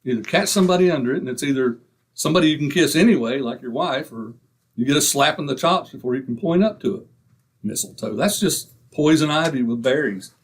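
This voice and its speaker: Give me a boastful, wisecracking husband in an old radio comedy. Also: male voice, medium pitch, expressive, a little fast, breathy edge. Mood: brash. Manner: brash, wisecracking. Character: boastful, blustering middle-aged husband. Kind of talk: 1940s radio comedy acting.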